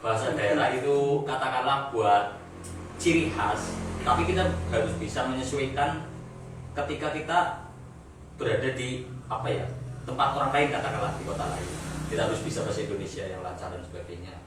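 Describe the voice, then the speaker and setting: A young man talks in a relaxed, agreeable way and pauses to find his words. He is picked up from a distance in a very echoey room. Faint noise sits behind him. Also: medium pitch. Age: young adult. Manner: relaxed, agreeable, thinking aloud. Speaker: discussion participant. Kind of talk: casual group discussion.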